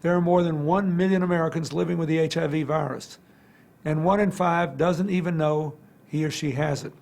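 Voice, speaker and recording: Gravelly documentary narrator, male. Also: on the high side, steady tone, fairly quick, darkish tone.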